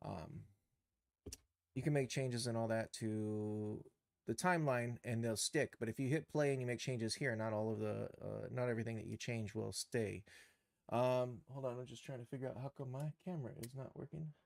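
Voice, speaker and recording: A man giving an unscripted software tutorial on a livestream, halting and thinking aloud as he works. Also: medium pitch, gravelly, no room sound, studio quality. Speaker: livestream host and game developer.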